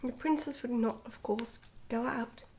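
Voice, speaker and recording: A woman reads a story aloud for ASMR. Her voice is whispered and breathy, sitting slightly high. She tells the tale gently and carefully, keeping everything soft and hushed. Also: fairly even intonation, unhurried, slight background noise. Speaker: ASMR book reader.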